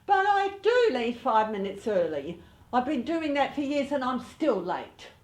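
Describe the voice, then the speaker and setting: A woman's voice: sits high, very animated, a little slow. A woman playing a scatterbrained, fussy older radio actress in a comedy, talking in a flustered, rambling way. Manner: flustered, rambling, over-explaining. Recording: roomy echo.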